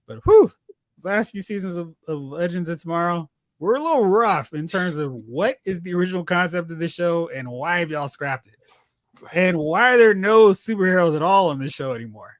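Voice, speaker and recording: A man hosting a casual chat about TV and film, telling stories in an animated, exclamatory way. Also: sits high, a little fast, no room sound, clean studio sound. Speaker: podcast host.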